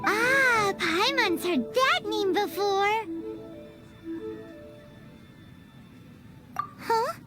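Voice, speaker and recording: A woman voicing a tiny, childlike, cheeky fairy companion in an anime-style video game, squeaky and full of exclamation. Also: expressive, slow pace, heavily acted. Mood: puzzled. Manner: squeaky, childish, exclaiming, speaks of herself in third person. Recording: loud music behind the voice. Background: loud game music.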